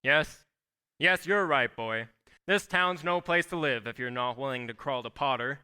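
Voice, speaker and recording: An actor playing a tired, gentle, idealistic older father in an old-time radio play on stage, speaking in a measured, reflective way. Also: medium pitch, clear, resonant tone. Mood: earnest, resigned.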